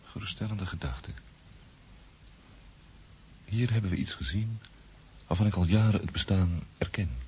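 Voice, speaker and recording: An actor playing a sober, thoughtful man recounting strange events in a radio drama, earnest and measured. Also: low voice, dark tone. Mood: sober, pensive. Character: sober, earnest male friend, thoughtful witness to the uncanny. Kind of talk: literary radio drama narration. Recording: faint background noise.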